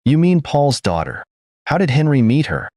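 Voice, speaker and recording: A man's voice: on the high side, sing-song, normal speed, darkish tone, no room sound, studio quality, pitched above the performer's own voice. A man voicing an aging king in a read folk tale, exclaiming with sorrow over a lost friend. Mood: astonished. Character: aging male king, grieving old friend.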